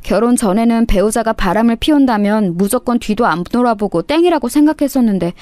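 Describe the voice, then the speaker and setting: A woman's voice: on the high side, a little fast, warm tone, dry recording, faint music behind. A woman narrating a scripted first-person story in a dramatic storytelling style.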